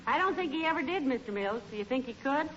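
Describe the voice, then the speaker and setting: A woman's voice: high voice, expressive, quick pace, a bit bright. A woman with an American accent playing a patient, wry middle-aged wife with a dry teasing manner in a 1940s radio comedy. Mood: wry, amused. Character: patient, wry wife who gently needles her husband. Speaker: comedy co-lead actor. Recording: dull, hissy old-time radio recording.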